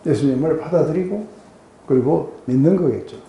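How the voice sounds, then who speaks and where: A weeknight Bible study in an echoey room, where a soft-edged, very dark-toned man explains a passage and keeps checking that everyone follows. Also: on the high side, animated, brisk, gravelly. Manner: explanatory, often checks in with listeners. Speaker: pastor teaching a Bible study group. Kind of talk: conversational expository sermon with rhetorical questions. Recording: roomy echo.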